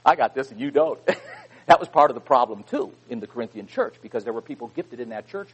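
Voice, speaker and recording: A man preaching a sermon, reading scripture with loud emphasis and dropping into quiet asides. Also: mid-range voice, lively intonation, quick pace, breathy edge, rough recording.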